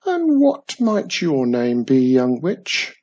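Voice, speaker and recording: Reader playing a wary little old man, slow, emphatic, swooping, breathy. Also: medium pitch, huge pitch swings, a little mellow, highs cut off, heavily acted. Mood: dramatic. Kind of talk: storybook reading.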